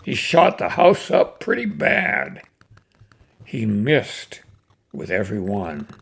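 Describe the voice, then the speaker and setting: Casting: older male poetry reader, folksy and unhurried, slow delivery, gravelly fry, mid-range pitch. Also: very animated. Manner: folksy, unhurried, with pauses between phrases. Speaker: host reading cowboy poetry. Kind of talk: casual introduction and expressive poem recitation.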